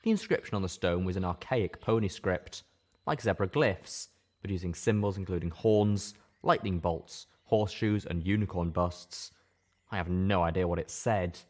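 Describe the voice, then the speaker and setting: A man's voice: deep voice, normal speed, a little mellow. A man reading scripted first-person fiction aloud as an audiobook narrator.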